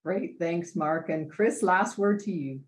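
A middle-aged woman moderates a panel from her home office over a video call. She moves things along briskly and politely. Her voice sits a little low. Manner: brisk, polite hand-offs.

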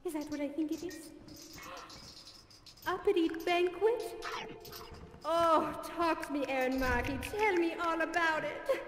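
A woman's voice: very high voice, slow, pauses often, noisy background. A woman playing a gushing diva cat singer. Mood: gushing, theatrical. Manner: theatrical, gushing, endearments. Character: glamorous diva female cat cabaret singer. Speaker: variety show performer. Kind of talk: cabaret song and stage patter. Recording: echoey hall with music behind.

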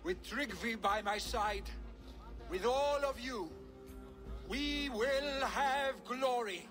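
An actor playing a grieving, proud young Viking warrior in a video game, speaking in solemn, measured declarations. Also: male voice, very high voice, fairly bright tone, soft background music, exaggerated voice. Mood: proud, rousing. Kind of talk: dramatic video game acting.

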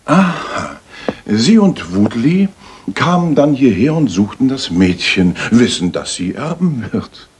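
An actor playing a shrewd, self-assured Victorian master detective in a radio play, speaking in a probing, precise way. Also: male voice, mid-range voice, very animated, slow pace, darkish tone, slight background noise.

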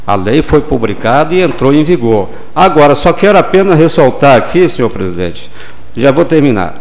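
Older man, councilman, formal deliberate delivery, desk mic in a chamber. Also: mid-range voice, big pitch swings, vocal fry. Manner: measured, formal, deliberate pauses. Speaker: city councilman. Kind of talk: formal legislative speech. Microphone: desk gooseneck microphone.

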